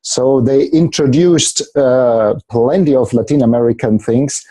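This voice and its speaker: Male guest, warm dark tone, halting English, friendly. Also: medium pitch. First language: German. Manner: hesitant, repeats words, searches for English. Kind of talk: casual interview talk.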